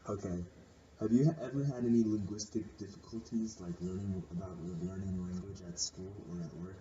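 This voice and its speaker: A man's voice: low-pitched, fairly even intonation, moderate pace, dark tone, recorded from a distance, a little hiss or hum. A man interviewing a friend, asking questions hesitantly with fillers. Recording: muffled voice from off the mic.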